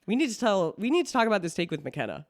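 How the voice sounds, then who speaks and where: A woman hosting a podcast, chatting casually with quick interjections and easy laughter. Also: mid-range voice, very animated. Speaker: podcast host.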